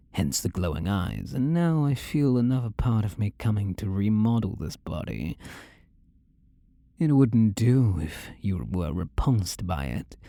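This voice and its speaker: A man's voice: on the low side, creaky, warm tone, dry recording, clean studio sound, exaggerated voice. A man acting a possessive, seductive demon lover in an intimate audio roleplay, commanding and sinister, sometimes sinking toward a whisper. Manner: slow, commanding, sinister purr, often dropping to whispers.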